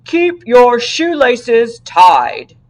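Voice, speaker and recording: An earnest policeman from a children's storybook, acted by a woman. He speaks slowly and announces each safety tip with deliberate emphasis. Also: on the high side, big pitch swings, a little mellow, voice pushed up, exaggerated voice. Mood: earnest, instructive. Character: earnest male police officer giving safety tips.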